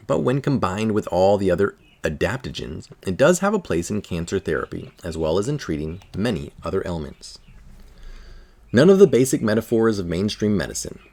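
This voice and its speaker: A man reading a scientific article aloud in a careful, measured manner. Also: deep voice, big pitch swings, a little mellow. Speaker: article reader.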